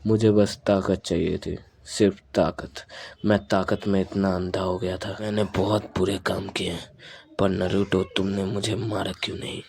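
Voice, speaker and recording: A man's voice: low-pitched, level tone, normal speed, creaky, darkish tone, husky, heavily acted. A man voicing a brooding, intense young male ninja rival in an anime fan dub, solemn and confessional. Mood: remorseful, brooding.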